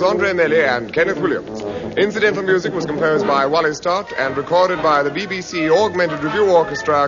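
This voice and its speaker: Loud music plays behind a British man on a muffled vintage radio recording. He reads scripted credits formally, as an announcer, in a slightly high voice. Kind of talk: scripted broadcast credits reading. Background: loud theme music.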